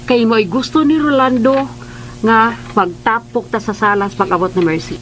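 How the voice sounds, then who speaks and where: An actress playing a bossy, fussy matron in a Philippine radio drama, with a Filipino accent and a scolding way of talking. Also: female voice, on the high side, moderate pace, lightly acted, rough recording. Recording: music behind the voice.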